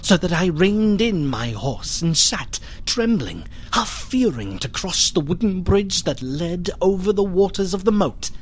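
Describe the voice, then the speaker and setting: An actor playing a melancholy, fearful gentleman who narrates a gothic radio drama in a solemn, literary, theatrical manner. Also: sits high, very animated, a little slow, few pauses, a little hiss or hum. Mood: dread.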